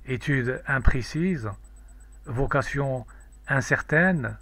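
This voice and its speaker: A man reciting a poem in a measured, declamatory, solemn way. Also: medium pitch, a bit bright, no echo. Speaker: poetry reader.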